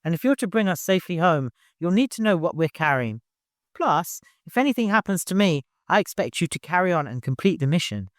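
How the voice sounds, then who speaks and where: A man's voice: high-pitched, very animated, fairly quick, no echo, studio quality, voice pushed up. A man voicing a dutiful, careful steward in an audiobook, frank and formal in manner. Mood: firm. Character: dutiful, careful male steward leading a mission.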